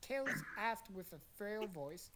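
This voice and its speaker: A woman's voice: mid-range voice, lively intonation, slow, very noisy. A young woman narrating fan fiction in a dramatic but casual, jokey storytelling style. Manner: dramatic storytelling, profane asides. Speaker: narrator and podcast cast member.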